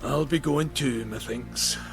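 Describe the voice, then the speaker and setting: A man's voice: mid-range voice, slow pace, vocal fry, music in the background. A man playing a proud, easily flustered dwarf armorer in a video game, with folksy old-fashioned phrasing. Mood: dejected. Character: proud, flustered male dwarf armorer, gruff but good-hearted. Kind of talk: video game character acting.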